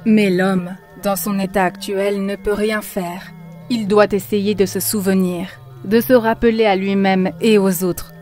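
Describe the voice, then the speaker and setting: A gentle but preachy spiritual teacher from an animated film, as a woman plays her, lecturing her listeners earnestly. Her voice is of ordinary pitch and even, with faint music in the background. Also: measured pace, lightly acted. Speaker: narrator and teacher.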